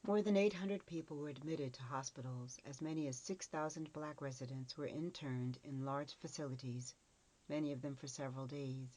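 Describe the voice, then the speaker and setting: A woman's voice: very deep voice, moderate pace, mellow, low-quality recording. A woman reading a scripted encyclopedia article as a narrator.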